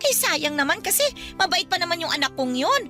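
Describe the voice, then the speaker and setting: A woman's voice: very high voice, sing-song, a little fast, pushed and bright, soft background music, heavily acted. A woman playing a nagging, defensive middle-aged aunt who dotes on her son in a radio drama. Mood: proud, nagging. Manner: nagging, indignant, emphatic. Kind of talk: Filipino radio drama acting.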